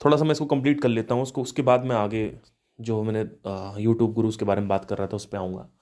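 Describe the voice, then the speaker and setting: A man's voice: fairly low pitch, steady tone, fast talker, dark tone. A man of thirty hosting a podcast, musing aloud on spiritual ideas with restarts and rhetorical questions. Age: 30s. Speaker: podcast host. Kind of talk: reflective spiritual talk.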